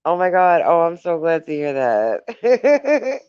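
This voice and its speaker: Slow, giggly woman hosting a live stream. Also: fairly low pitch, sing-song, a little mellow, clear, resonant tone, dry recording, lo-fi sound. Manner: giggly, laughs often between remarks; affectionate toward viewers. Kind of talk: casual live stream chat, reading comments aloud.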